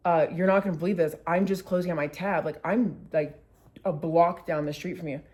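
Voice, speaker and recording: A woman's voice: fairly low pitch, fairly even intonation, fairly quick, darkish tone, natural voice. A woman quoting her blunt, supportive middle-aged mother in a plain voice. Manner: blunt, matter-of-fact.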